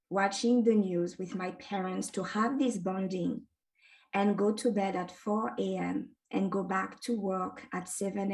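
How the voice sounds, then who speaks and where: A woman from France with a French accent, moderating a panel and speaking in a measured, halting way. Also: medium pitch, darkish tone. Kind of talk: reflective panel talk. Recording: voice distant from the mic.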